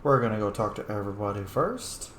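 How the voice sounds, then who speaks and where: A man's voice: lowish voice, animated, fast, fairly bright tone, roomy sound, a little hiss or hum. A man giving casual playthrough commentary as a game streamer, playfully talking back to the game.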